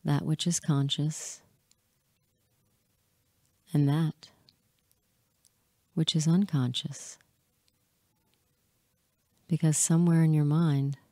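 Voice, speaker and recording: A woman guides a hypnosis session in a low, soft-toned and relaxed voice. She speaks very slowly and soothingly, leaving pauses between short phrases, and sounds close and dry, with no room around her. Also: no echo. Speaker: hypnotist guiding listeners.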